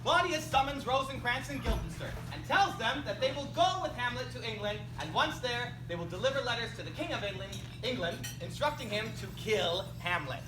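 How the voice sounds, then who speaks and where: A woman's voice: on the high side, big pitch swings, fairly quick, hardly any pauses, over music. A woman narrating a comic school stage play from a script to a live audience, with wry, theatrical asides. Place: indoor live performance, distant microphone. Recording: echoey, noisy room recorded from far off.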